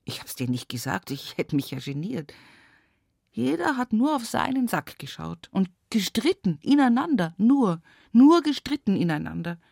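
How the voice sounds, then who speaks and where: An actress playing a weary, devout country woman in her sixties with an Upper Palatinate Bavarian accent, lamenting haltingly in a radio play monologue. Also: medium pitch, sing-song, creaky, darkish tone, breathy edge, dry recording, clean studio sound, exaggerated voice. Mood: indignant. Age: 60s. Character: weary, devout rural daughter nearing seventy, grieving, plain-spoken.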